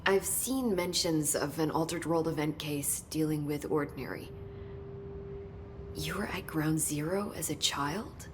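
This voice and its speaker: An actress playing an earnest, knowledgeable government researcher in a video game, explaining in a measured, understated way. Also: female voice, low-pitched, noisy background. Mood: grave, confiding. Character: earnest, knowledgeable female government agency researcher, briefing her director. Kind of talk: video game cutscene acting.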